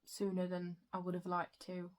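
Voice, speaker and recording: Soft, flat-voiced woman with a croaky throat. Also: on the low side. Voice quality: soft, unhurried, with frequent "um" and "like" fillers. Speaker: book vlogger. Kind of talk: casual reading vlog chat.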